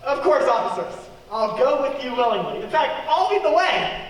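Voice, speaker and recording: Male actor as young innocent fugitive, earnest, radio drama style, lighter high register, distant hall recording. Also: sing-song, normal speed. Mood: indignant. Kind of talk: old-time radio play acting. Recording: echoey hall, far from mic, noisy.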